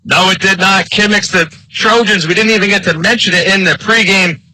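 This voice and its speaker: A man's voice: high-pitched, fairly even intonation, a little fast, pushed and bright, dry recording, poor audio. An American man working as a color commentator, giving live analysis of an American football game.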